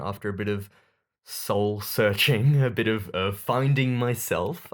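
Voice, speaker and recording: Man as a low, awkward mock-announcer. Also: lightly acted. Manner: halting, awkward, deadpan. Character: earnest, defensive man pitching a dubious whaling business. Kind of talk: comedy monologue as a mock announcement.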